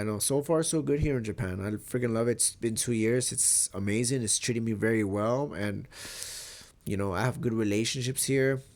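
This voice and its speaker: A young man from Mexico talking casually in a vlog, with a stuffy, nasal voice from a cold, rambling and swearing easily. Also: fairly low pitch. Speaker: vlogger.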